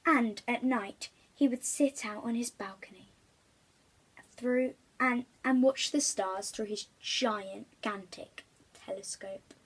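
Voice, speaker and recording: Higher-voiced, slightly husky woman storyteller. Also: slow pace, low-quality recording. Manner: expressive, emphatic on key words. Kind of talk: children's storybook read aloud.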